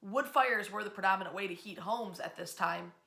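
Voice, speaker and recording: An American woman telling history stories in an informal, conversational way, with dramatic pauses and emphasis. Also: medium pitch, creaky, pushed and bright. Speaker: history storyteller, host. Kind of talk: informal narrated history storytelling. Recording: echoey room sound.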